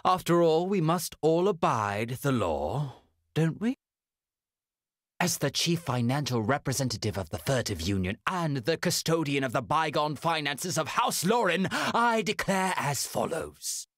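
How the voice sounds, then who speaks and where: An actor voicing a smug, menacingly polite financial official, speaking in formal, drawn-out theatrical phrases for a video game. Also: male voice, fairly high pitch, very animated, fairly bright tone, dry recording, clean studio sound, heavily acted. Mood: gloating formality.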